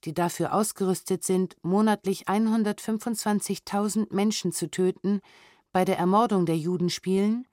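A woman's voice: medium pitch, fairly even intonation, moderate pace, a little mellow, no room sound. A woman with a mid-range voice reads documents aloud for an audio documentary. She is formal and sober and articulates precisely. The recording is dry, with no room sound. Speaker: reader of historical documents. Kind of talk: scripted documentary audio narration, read aloud. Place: dry studio.

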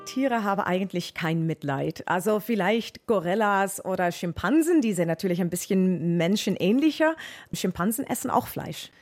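A woman's voice: medium pitch, animated, normal speed, darkish tone, faint music behind. A woman animal expert with a Dutch accent, explaining things to children in a plain-spoken, matter-of-fact way.

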